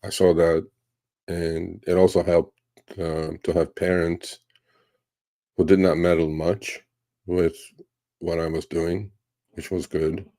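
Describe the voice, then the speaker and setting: A man in his fifties from Turkey, hosting a livestream Q&A and telling stories in a relaxed, rambling way with many fillers. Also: deep voice, takes it slowly, pauses often, no room sound. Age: 50s. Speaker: livestream host. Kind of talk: casual livestream Q&A.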